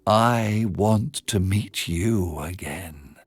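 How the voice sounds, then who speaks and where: Man acting pleading spirit, lonely, very slow drawn-out words, storybook, soft music underneath. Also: deep voice, dry recording, studio quality. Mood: wistful. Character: pleading, lonely soul of a fisherman, a shadow spirit. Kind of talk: bedtime storybook character voice.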